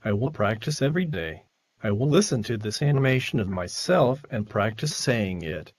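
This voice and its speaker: A man voicing an earnest, determined French student in an animated language-practice self-introduction. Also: on the low side, normal speed.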